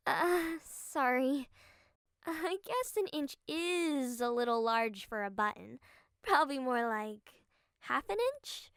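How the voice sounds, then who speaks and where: A woman's voice: sits high, slow, a bit bright, clear, resonant tone, no room sound, clean studio sound, full character voice. A woman voicing a sweet, playful, slightly ditzy young woman in a game fan dub, girlish and teasing.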